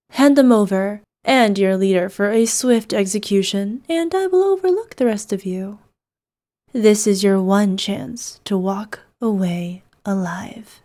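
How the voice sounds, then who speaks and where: A woman's voice: medium pitch, mellow, lightly acted. A woman playing an evil, imperious queen in a scripted roleplay, speaking in a haughty, formal, commanding manner. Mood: cold, contemptuous. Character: evil imperious queen, cruel to subjects, doting on her knight. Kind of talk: scripted ASMR roleplay acting.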